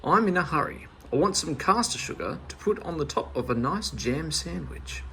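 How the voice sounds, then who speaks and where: A man voicing an impatient woman shopper in a children's story, brisk and in a hurry. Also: fairly high pitch, expressive, sharp tone, a little hiss or hum.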